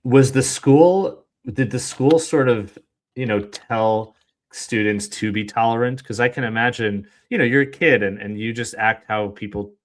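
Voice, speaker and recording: A man's voice: medium pitch, measured pace. A man hosting an interview podcast, asking long, winding questions with real curiosity. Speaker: podcast host.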